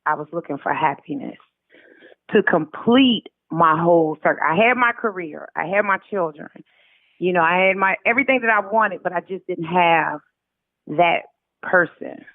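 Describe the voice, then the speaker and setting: A woman's voice: lowish voice, moderate pace, dry recording. American woman on a tinny phone line. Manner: animated retelling with quoted dialogue, colloquial. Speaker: interview guest, author. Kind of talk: casual conversational storytelling.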